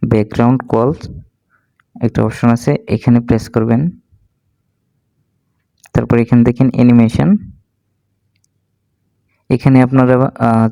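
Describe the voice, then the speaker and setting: A man narrating a step-by-step tech tutorial in an instructional way, pausing between steps. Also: mid-range voice, gravelly, warm tone, dry recording.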